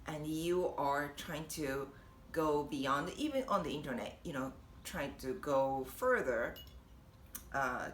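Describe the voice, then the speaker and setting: A young woman with a Japanese accent, chatting warmly and encouragingly to camera, laughing often. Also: a bass voice. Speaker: vlogger and language teacher. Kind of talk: casual encouraging talk to camera. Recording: echoey room with faint noise.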